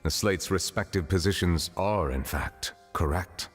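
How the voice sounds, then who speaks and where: An actor playing a solemn, composed man of authority in a game, explaining things formally and with measured care. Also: deep voice, breathy edge, soft background music. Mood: measured, correcting. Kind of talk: game story dialogue, formal exposition.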